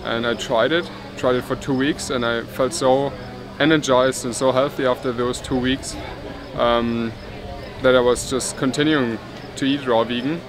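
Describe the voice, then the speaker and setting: A man's voice: medium pitch, steady tone, normal speed. A man in his twenties with a German accent, speaking casually in an interview. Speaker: festival attendee interviewee. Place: outdoors beside a wooden cabin. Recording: outdoor recording with crowd chatter.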